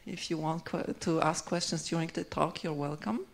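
Woman session chair, slightly gravelly voice, brief hesitant intro, handheld mic in a hall. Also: low-pitched, steady tone, normal speed. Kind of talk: formal introduction. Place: large lecture hall.

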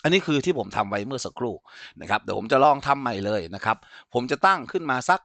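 A man giving an informal software tutorial as an instructor, explaining step by step and often checking that listeners follow. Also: mid-range voice, sing-song, fast talker, creaky, no echo.

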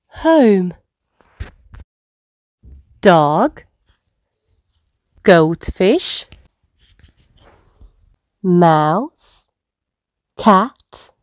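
A woman's voice: mid-range voice, darkish tone, no room sound, clean studio sound. A woman reading aloud to toddlers as a children's storyteller, in simple, encouraging child-directed speech. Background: faint music.

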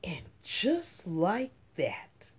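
A middle-aged woman reading a children's picture book aloud as story time host, with dramatic, drawn-out emphasis. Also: on the low side, wildly swooping pitch, slightly rough. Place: small room at home.

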